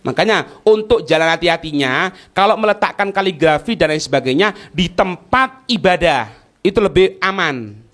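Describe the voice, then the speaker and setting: A man's voice: sits high, lively intonation, moderate pace, a bit bright. A man with a Javanese accent teaching religion in a colloquial, didactic way, posing questions and checking that listeners follow. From East Java, Indonesia. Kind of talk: religious lecture with question and answer.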